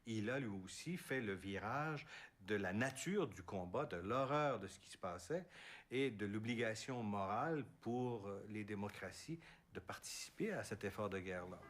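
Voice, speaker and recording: Un homme interviewé qui analyse l'histoire d'un ton posé. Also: male voice, on the low side, expressive, normal speed. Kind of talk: conversational explanation.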